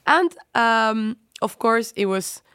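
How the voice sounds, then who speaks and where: A woman's voice: fairly high pitch, expressive, slow pace, fairly bright tone, smooth, dry recording, studio quality. A young woman from Spain with a Spanish accent, explaining things informally as a podcast guest. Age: young adult. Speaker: guest, student.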